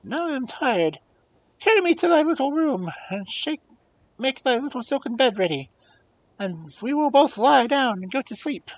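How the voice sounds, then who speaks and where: A man's voice: extremely high pitch, very animated, normal speed, voice pushed up, heavily acted, poor audio. A man voicing a small, insistent talking frog from a fairy tale, imperious and old-fashioned in his phrasing. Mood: demanding. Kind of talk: fairy-tale storybook character voice.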